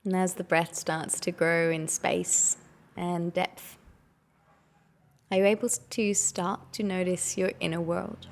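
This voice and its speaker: Low-voiced woman guiding meditation, lightly creaky. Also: little pitch movement. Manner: gentle, unhurried instruction with soft invitations and questions. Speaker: meditation guide. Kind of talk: guided meditation.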